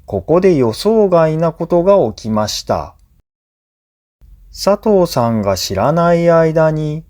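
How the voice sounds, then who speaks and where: Guy w reading a story for learners, really slow, careful short phrases, lots of up and down in his voice. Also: fairly high pitch, dark tone. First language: Japanese. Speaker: language teacher narrator. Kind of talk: scripted story narration for language learners.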